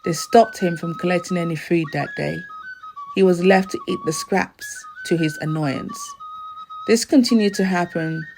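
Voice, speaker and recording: Low-pitched woman narrating a folktale, lively intonation. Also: poor audio. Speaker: storyteller.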